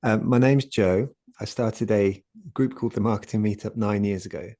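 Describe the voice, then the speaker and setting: Soft-spoken guest, a man with a low, mellow voice, hesitant and full of fillers, over a dry video call. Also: moderate pace, no room sound. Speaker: guest speaker, community founder. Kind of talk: conversational talk in an online session.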